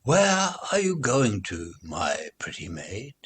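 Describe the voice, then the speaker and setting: A man voicing a courting gentleman from a nursery rhyme, putting lilting questions that fall away softly. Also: fairly low pitch, huge pitch swings, slow pace, breathy edge, exaggerated voice. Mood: courteous, inquisitive.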